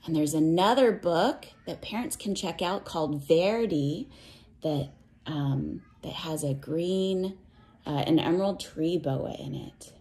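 A woman reading a picture book to young children and explaining it in simple words, with a sing-song, measured cadence. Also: sits low, warm tone. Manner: simple, instructive phrasing aimed at young children. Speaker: read-aloud teacher for young children.

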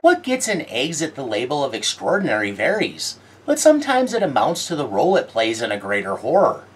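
Woman host, low and husky, wildly animated intonation, dry sardonic reviewer. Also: a little fast. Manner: wry, sardonic, theatrical delivery. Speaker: horror film reviewer. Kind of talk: scripted video-essay commentary.

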